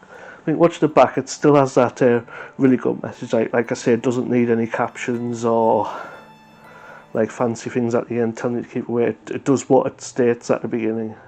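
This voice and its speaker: Flat-toned man reviewing casually. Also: mid-range voice, normal speed, gravelly, faint music behind. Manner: hesitant, rambling, matter-of-fact. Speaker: YouTube reaction host.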